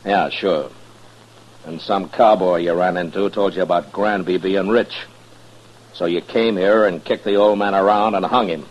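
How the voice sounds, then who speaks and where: A man's voice: sits low, steady tone, normal speed. A man acting a stern, world-weary US Marshal in a 1950s western radio drama, terse, grave and plain-spoken. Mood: stern, suspicious. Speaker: lead actor and first-person narrator. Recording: muffled old radio recording with music.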